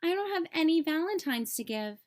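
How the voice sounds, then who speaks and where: Woman voicing an earnest high-pitched little boy turtle. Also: big pitch swings, brisk, darkish tone. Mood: dejected.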